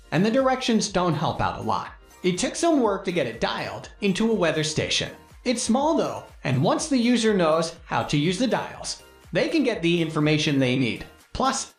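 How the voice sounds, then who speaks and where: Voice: medium pitch, roomy sound. A narrator of unclear sex reading a scripted product review in a formal way, listing features and specifications. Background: music.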